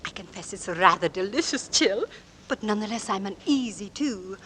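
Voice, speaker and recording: A woman's voice: mid-range voice, lively intonation, fairly quick, fairly bright tone, natural voice. An actress playing a chatty, tactless middle-aged neighbour and mother, gushing and fussy in 1940s radio style. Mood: deliciously uneasy. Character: chatty, tactless neighbour lady and mother, delighting in cheerful horrors. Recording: noisy vintage radio recording.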